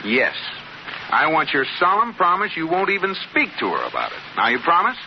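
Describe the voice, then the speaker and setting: An actor playing a firm, exasperated husband in a 1950s radio sitcom, emphatic and dryly joking. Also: male voice, on the high side, huge pitch swings, a little fast. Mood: stern, insistent. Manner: insistent, dry, emphatic. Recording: muffled old radio recording, faint noise.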